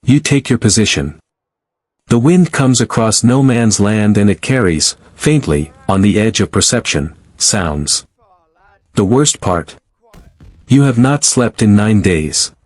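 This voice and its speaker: A man narrating a war story in the second person, in a slow, grave, measured voice-over. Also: lowish voice, lively intonation, no room sound.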